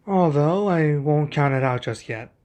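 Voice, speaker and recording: A man playing a young king and protective husband in an ASMR roleplay, speaking in a formal, measured, deliberate way. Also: on the high side, darkish tone, lo-fi sound, lightly acted. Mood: pensive, measured.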